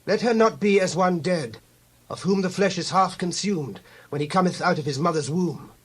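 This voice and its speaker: A high-pitched man of the Bible pleads in anguish. He is fervent and imploring, a humble priest in an audio scripture drama. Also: moderate pace, slightly rough. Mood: anguished pleading. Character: Aaron, anguished pleading biblical priest, brother of Moses.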